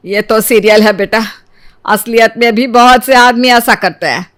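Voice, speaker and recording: A woman voicing a kindly, doting middle-aged mother-in-law in an animated family story. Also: a little high, normal speed, close to an everyday voice. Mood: sympathetic, consoling. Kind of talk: animated story dialogue in Hindi.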